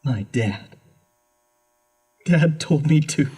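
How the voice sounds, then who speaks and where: Guy acting scared guilty young man in a horror audio drama, slow and stammery, voice swings all over, begging. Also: fairly high pitch, expressive, background noise. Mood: fearful confession. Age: young adult. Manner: halting, stammering, pleading. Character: distraught, guilt-ridden young man cowed under interrogation.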